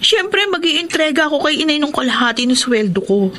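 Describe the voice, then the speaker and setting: A woman's voice: high voice, lively intonation, a little fast, sharp tone, slight background noise. An actress with a Filipino accent playing a sincere, hopeful young working woman in a Tagalog radio drama. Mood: earnest, apologetic. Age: young adult.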